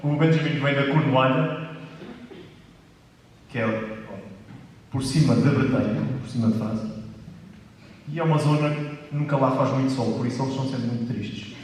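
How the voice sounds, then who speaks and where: A man's voice: mid-range voice, normal speed, long pauses, a little mellow. A man chatting and teasing between songs at a live concert. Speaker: band member introducing songs. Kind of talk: casual joking stage banter. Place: live concert room with an audience. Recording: echoey hall from far off, faint noise.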